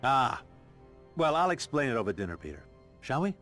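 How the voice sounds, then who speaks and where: A middle-aged scientist mentor in a game, lively in intonation. He is genial, affectionate and professorial, musing as he speaks over clear background music. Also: mid-range voice, a little fast. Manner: warm welcoming, professorial, musing. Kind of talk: video game dialogue.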